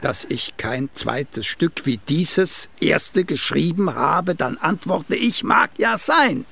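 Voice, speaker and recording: An actor playing a vain, aging, once-celebrated playwright in a radio play, condescending and pompous, with a gravelly, husky voice and drawn-out emphasis. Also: male voice, on the high side, animated, fairly bright tone, heavily acted. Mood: boastful, expansive. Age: older. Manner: pompous, self-satisfied, drawn-out emphatic delivery.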